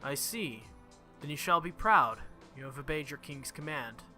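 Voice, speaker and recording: A man's voice: on the high side, animated, normal speed, sharp tone. Man reading as a weary dying monarch, music behind. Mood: weary, solemn. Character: dying noble king, weary, solemn and gentle.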